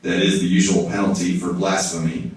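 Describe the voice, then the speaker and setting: A hard-boiled private eye puppet, acted by a man, with a very low voice and gruff, wry, slangy patter in a noir parody. He sounds distant, in a heavily echoing room. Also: little pitch movement, a little fast, close to an everyday voice. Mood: wry, joking. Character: wisecracking, down-on-his-luck hard-boiled male private detective puppet. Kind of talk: film-noir detective parody in a puppet show. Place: indoor hall, behind a puppet stage. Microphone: far camera in the audience. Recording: echoey room, distant audience camera.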